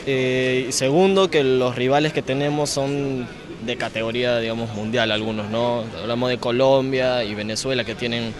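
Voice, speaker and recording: A man's voice: mid-range voice, fairly even intonation, moderate pace. A young man with a Peruvian accent, an athlete talking conversationally in an interview. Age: young adult. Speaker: bowling athlete. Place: large indoor bowling alley. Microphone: handheld interview microphone. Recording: busy bowling alley chatter.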